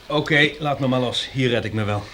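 A man's voice: medium pitch, brisk, noisy background, natural voice. An actor playing a modest, helpful man in a Dutch radio play, speaking mildly.

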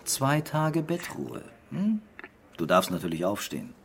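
An unhurried French police commissioner with a medium-pitched voice. He is wry, understated and quietly scheming, in the style of a radio crime drama, with clear ambient noise behind him. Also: huge pitch swings. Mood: coaxing.

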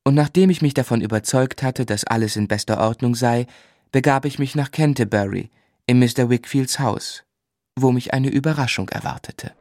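A man's voice: medium pitch, normal speed, darkish tone, no echo, clean studio sound, close to an everyday voice. An actor playing an earnest, devoted young man who also narrates his story in a literary German radio drama. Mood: reflective narration.

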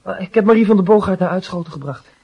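An actor playing an earnest, touchy teenage schoolboy who gives short, offhand replies in a Dutch radio play. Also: male voice, high voice, fast talker, vocal fry, dark tone, husky, full character voice.

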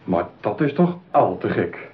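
An actor playing an impulsive, insecure yet self-satisfied man in a radio play, insistent and excitable in manner. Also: lowish voice, a little slow, slight background noise. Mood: indignant, wavering. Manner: insistent, excitable, swinging from boast to doubt. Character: impulsive, insecure, self-satisfied male customer seeking a bride. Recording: muffled, narrow-band radio play recording.

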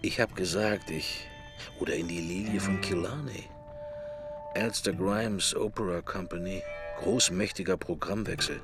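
A man's voice: low-pitched, a little slow, over music. An actor playing a middle-aged man's drifting inner thoughts in a radio play, in murmured fragments. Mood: idle pondering. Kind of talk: radio play interior monologue.

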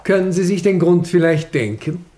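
A suave, secretive gentleman baron from a radio crime play. His voice sits a little high for a man and moves in wide, animated curves. He is courteous, guarded and insinuating. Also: moderate pace. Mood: suavely confiding. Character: worldly, elegant baron, secretive and smoothly courteous. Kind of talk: German radio crime drama acting.

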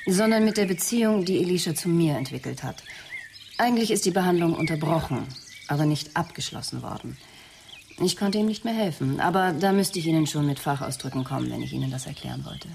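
A woman's voice: deep voice, animated, fairly quick, gravelly, a little mellow, a little hiss or hum. An actress playing a guarded, precise female psychotherapist under questioning in a radio crime drama, evasive but explanatory. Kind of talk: German radio drama dialogue.

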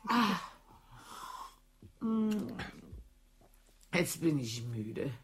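An actress in a radio play voicing a cool, cynical woman with many late husbands, teasing and amused, with a husky voice. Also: low voice, sing-song, very slow, heavily acted. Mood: languid.

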